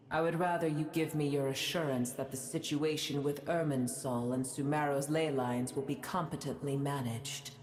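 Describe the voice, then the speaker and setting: A woman voicing a proud, scornful ancient female dragon in a video game, gravelly and imperious, in cavernous reverb. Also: low-pitched, darkish tone. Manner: haughty, imperious. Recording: long echoing reverb, faint noise.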